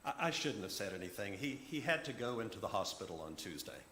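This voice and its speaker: A man's voice: fairly low pitch, big pitch swings, fast talker, bright, forceful tone, voice pushed down. Man voicing a flustered, stammering woman, roomy echo. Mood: anxious, evasive. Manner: hesitant, stammering, backtracking. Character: flustered, anxious woman relative, hesitant and stammering. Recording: roomy echo, clear background noise.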